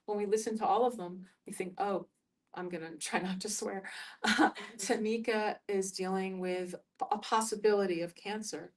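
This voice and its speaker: A woman's voice: mid-range voice, fairly even intonation, normal speed, a little mellow. A woman facilitating a casual group discussion in a conversational way. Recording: distant microphone in group setting.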